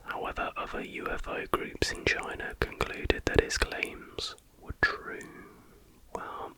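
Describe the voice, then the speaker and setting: An ASMR host speaking in a breathy, unvoiced whisper, reading aloud in an unhurried, informal way.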